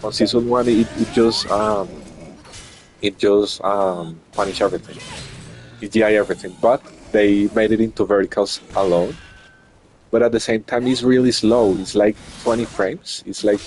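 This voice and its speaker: A man's voice: on the low side, steady tone, moderate pace, a little mellow, soft background music. A man commentating a fighting game tournament in English, which is not his first language, explaining tactics at length with frequent restarts. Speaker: esports commentator.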